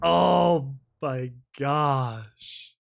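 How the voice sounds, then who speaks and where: A man's voice: medium pitch, extremely slow, gravelly. A man giving slangy, informal reaction commentary as a video host. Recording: prominent music behind the voice. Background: music.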